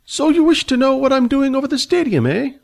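Male narrator voicing a prim old wizard, high fussy voice, haughty and nosy, storybook read-aloud. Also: lively intonation, a little fast, gravelly, exaggerated voice. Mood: amused. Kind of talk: storybook reading.

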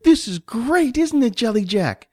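A man voicing an excitable, sweet little boy in a storybook, with an eager, squealing manner. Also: very high-pitched, measured pace, no echo, clean studio sound, pitched above the performer's own voice, heavily acted. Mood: excited.

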